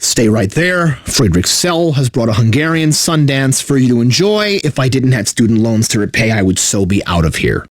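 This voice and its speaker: A man's voice: medium pitch, very animated, normal speed, hardly any pauses, dry recording, studio quality. A man playing a bitter, burnt-out corporate radio DJ in a video game, mixing announcer patter with sarcastic, exasperated asides. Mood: weary, sardonic. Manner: exaggerated announcer delivery with sardonic, exasperated asides.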